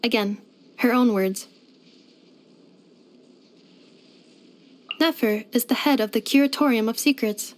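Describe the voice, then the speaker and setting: A woman as a high-voiced, precise robot maid. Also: natural voice. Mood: calm, factual. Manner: formal, precise, measured delivery with clinical machine-like phrasing. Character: polite female robot maid, earnest, gentle and literal-minded. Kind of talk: scripted video game character voice lines.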